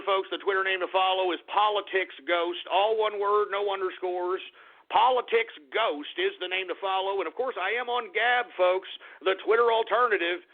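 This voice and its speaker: A man hosting a call-in radio talk show, ranting and insulting callers in an abrasive way. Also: high-pitched. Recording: phone-like narrow band.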